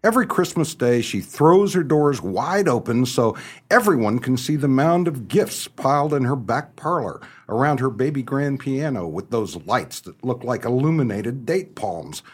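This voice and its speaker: A man playing an exasperated, wry small-town husband in a stagey comedic radio play, animated and sardonic. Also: mid-range voice, moderate pace, breathy edge.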